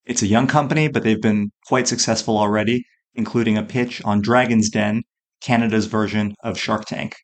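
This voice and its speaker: A man's voice: fairly low pitch, fairly even intonation, moderate pace. A man hosting an interview podcast, introducing his guest and asking questions. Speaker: podcast host.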